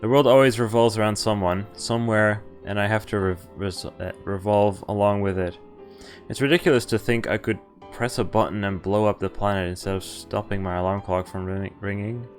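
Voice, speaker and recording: A man's voice: lowish voice, fairly even intonation, fairly quick. A man streaming a game, reading its story text aloud in an informal way. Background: game music.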